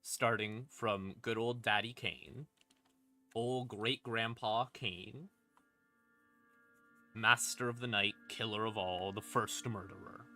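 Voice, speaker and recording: A man's voice: lowish voice, steady tone, takes it slowly, long pauses, bright, forceful tone. A man casually explaining lore as a streamer, irreverent and sweary, with wry asides. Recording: eerie ambient music behind voice. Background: creepy ambient music.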